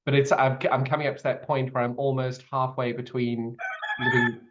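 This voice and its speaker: A man's voice: medium pitch, flat delivery, normal speed, a bit bright, lo-fi sound. A male quilting show host born in Zimbabwe, living in the UK, chatting with a guest over a call. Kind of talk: video call interview.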